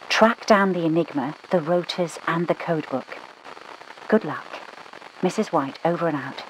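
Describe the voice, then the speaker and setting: A woman's voice: on the low side, a little mellow, slight background noise, close to an everyday voice, poor audio. A woman voicing a clipped British spymaster. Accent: British English. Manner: clipped, dry, commanding, scolding. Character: brisk British woman spymaster giving orders. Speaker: mission controller. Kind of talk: game voice lines.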